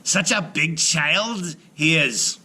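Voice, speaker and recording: Man acting out a blustering, shouting giant. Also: fairly high pitch, takes it slowly, breathy edge, pitched above the performer's own voice, full character voice. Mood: astonished. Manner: shouting, boastful, exclaiming. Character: blustering, bullying male Irish giant, loud glutton.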